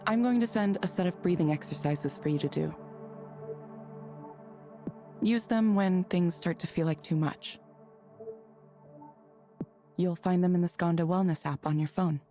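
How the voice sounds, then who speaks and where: A calm, reserved counselor in a visual novel game. Her voice is fairly low and mellow. She speaks gently, in a measured and careful way. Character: calm, reserved woman reading for a counseling program. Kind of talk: naturalistic visual novel voice acting.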